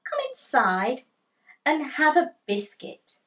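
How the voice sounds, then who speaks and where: A woman voicing a friendly young male dog in a children's storybook, with gentle, expressive phrasing. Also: high-pitched, slow pace, voice pushed up, exaggerated voice. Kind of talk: children's storybook character read aloud.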